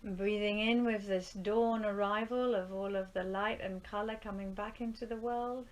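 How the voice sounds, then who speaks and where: A woman leading a live guided yoga relaxation in a soothing, unhurried manner, often softening to a breathy near-whisper as phrases end. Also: medium pitch, steady tone. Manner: soothing, unhurried, with long pauses. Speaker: yoga nidra teacher. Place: rural yoga studio, live group session. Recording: faint background noise with outdoor ambience. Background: birdsong and ambience from outside.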